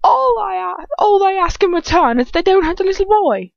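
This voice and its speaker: A woman's voice: very high-pitched, expressive, moderate pace, gravelly, no echo, lo-fi sound, voice pushed up, full character voice. A woman voicing a gleeful, sadistic witch villainess with breathless, mocking delivery in an amateur story reading.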